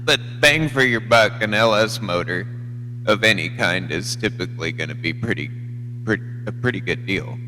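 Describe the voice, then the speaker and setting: A man's voice: fairly low pitch, moderate pace, bright, forceful tone. An American man chatting casually on a CB radio in a rambling, unpolished way. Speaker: CB radio operator. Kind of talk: casual chat. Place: live CB radio feed. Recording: noisy hum, long hollow reverb.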